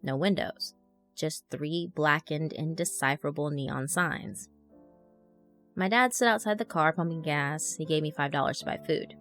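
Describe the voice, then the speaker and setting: Woman reading as a low-voiced, chatty young blogger. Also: normal speed, a bit bright. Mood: descriptive, wary. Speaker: narrator. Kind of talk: scripted horror story narration. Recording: music under the voice. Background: background music.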